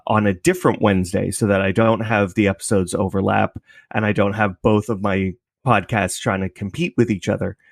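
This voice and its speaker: Low-voiced man as interview guest. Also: vocal fry, no room sound, clean studio sound. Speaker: podcast creator, interview guest. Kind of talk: casual explanatory interview talk.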